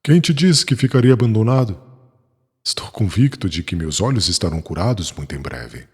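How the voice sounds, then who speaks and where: A man's voice: fairly low pitch, expressive, fairly quick, darkish tone, slightly rough. A man playing a proud, resolute blind man full of faith, speaking formally in a dramatized religious audiobook. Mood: confident, reassuring. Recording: echoey hall with music.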